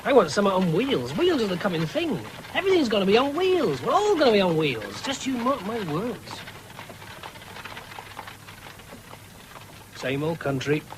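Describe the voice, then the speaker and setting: A noisy vintage radio play recording of a cocky young farm lad. He has a high, swooping voice, and pushes his ideas with boastful, cajoling enthusiasm. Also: wildly swooping pitch, fairly quick, few pauses. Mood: excited, boastful. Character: eager, boastful young farmer son, keen on machines. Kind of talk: 1970s British radio drama acting.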